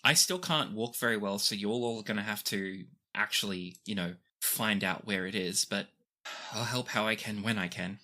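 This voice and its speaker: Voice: low-pitched, moderate pace, bright, forceful tone. A game master improvising narration for a tabletop game in a playful, descriptive storytelling way.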